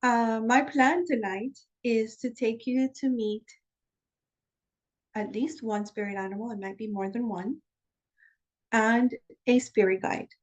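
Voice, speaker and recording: A woman teaches shamanic practice over a video call. She explains candidly and chattily, then slows into calm, steady guidance for a meditation journey over a drum beat. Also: fairly high pitch, lo-fi sound. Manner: chatty and candid when explaining, with soft laughs.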